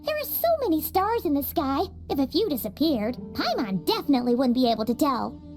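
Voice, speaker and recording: A woman voicing a small, cheerful, childlike fairy companion in a video game, with a squeaky, excitable delivery. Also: fairly quick. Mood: curious, chatty. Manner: talks about herself in third person, excitable. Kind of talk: video game cutscene voice acting. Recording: background music behind the voice.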